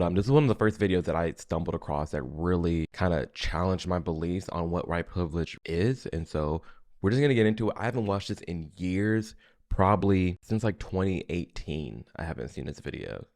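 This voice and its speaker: A young American man with a low, deep voice talks casually to his audience as a host. He delivers reaction commentary in a conversational, off-the-cuff style. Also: moderate pace, gravelly, darkish tone. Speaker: reaction video host, influencer.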